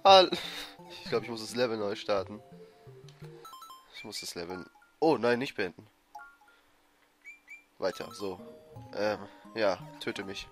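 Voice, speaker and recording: A man with a cold giving excitable, frustrated commentary over a platform game he is playing. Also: on the low side, unhurried, long pauses. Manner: frustrated, excitable, swears and laughs. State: has a cold, coughing. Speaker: let's player. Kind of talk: gameplay commentary. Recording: faint game music behind. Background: game music.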